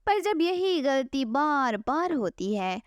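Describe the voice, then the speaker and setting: A woman's voice: high voice, sing-song, takes it slowly, dry recording, studio quality. A woman narrating a scripted moral bedtime story as the storyteller.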